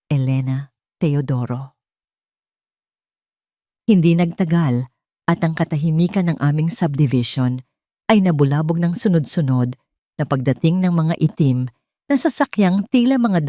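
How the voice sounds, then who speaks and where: A woman narrating a first-person dramatic story from a script, with measured phrasing. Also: low-pitched, mellow, no echo, clean studio sound.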